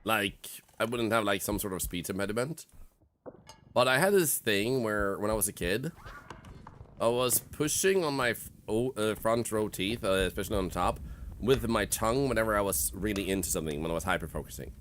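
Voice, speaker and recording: A man's voice: fairly low pitch, normal speed, a bit bright, slight background noise. A young man with a Swedish accent, chatting candidly on a game stream in a reflective, rambling way full of fillers. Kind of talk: casual gaming stream chat.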